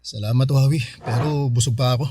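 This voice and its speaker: An actor playing a beleaguered, earnest husband with a Filipino accent in a radio drama. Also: male voice, medium pitch, steady tone, brisk, warm tone, a little hiss or hum, natural voice. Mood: downcast. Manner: earnest, strained, hesitant when asking. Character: Marlon, beleaguered husband and uncle short of money.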